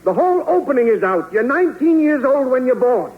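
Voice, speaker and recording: An actor playing a fussy, excitable movie producer in a 1940s radio comedy, interrupting his writers. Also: very high-pitched, a little fast. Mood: brash. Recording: tinny old radio recording with heavy hiss.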